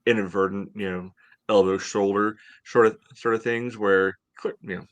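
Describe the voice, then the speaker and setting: An American man in his thirties hosting a casual sports podcast over a video call, speaking haltingly with lots of "you know". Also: fairly low pitch, steady tone. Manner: hesitant, halting delivery, heavy use of "you know". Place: home room, indoors, on a video call. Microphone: headset on video call.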